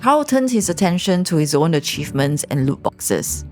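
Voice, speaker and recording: Low female voice, lively intonation, scripted story narration, soft music behind. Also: moderate pace.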